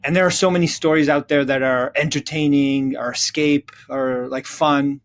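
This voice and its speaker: A man's voice: mid-range voice, steady tone. Earnest middle-aged man, reflective interview guest. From Czechoslovakia, moved to North America. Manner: earnest, halting, builds up lists and rhetorical questions. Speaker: interview guest, psychotherapist and author.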